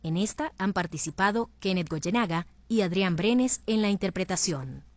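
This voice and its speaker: A woman's voice: medium pitch, lively intonation, normal speed, a little hiss or hum. A woman reading scripted narration as the host of a radio programme.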